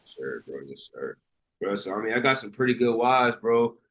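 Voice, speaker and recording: A young man hosting a video call chat, laid-back and slangy. His voice sits a little low and comes through a call mic. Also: very animated, moderate pace, a bit bright, slightly rough. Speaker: session host.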